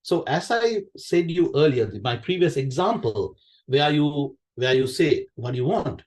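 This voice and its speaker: A man's voice: fairly high pitch, big pitch swings, unhurried, darkish tone. A man with a Sri Lankan accent, a native Sinhala speaker, presenting technical material informally, repeating phrases and often checking in with questions. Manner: conversational, repeats phrases, poses questions and tags them with "right?". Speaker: QA director giving a conference session.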